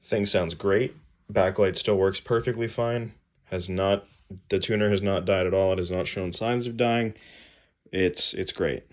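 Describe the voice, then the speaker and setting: A man giving a casual show-and-tell product rundown as a hobbyist video host, informal and somewhat halting. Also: low voice, fairly even intonation. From New England, United States.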